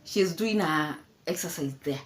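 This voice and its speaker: A woman's voice: low-pitched, a little slow, slight background noise. A woman in her fifties from Kenya giving casual, mocking commentary, laughing often and repeating herself. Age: 50s. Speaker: commentary vlogger.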